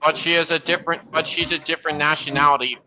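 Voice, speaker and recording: A man's voice: a little high, little pitch movement, fast, rough recording. A man hosting a livestream, giving casual, earnest, detail-focused commentary on videos he is watching. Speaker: livestream host. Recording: music playing behind the voice.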